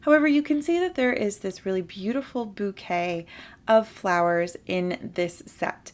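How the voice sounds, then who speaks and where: A young adult woman with a slight sore throat hosting a card-making tutorial and calmly explaining each step. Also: mid-range voice, a little slow. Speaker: papercraft tutorial host. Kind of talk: instructional voice-over for a crafting video.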